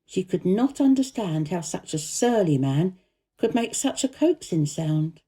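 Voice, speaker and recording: Female children's audiobook narrator: mellow tone, lively intonation, careful, expressive scripted reading. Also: on the low side, measured pace, husky. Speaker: storyteller.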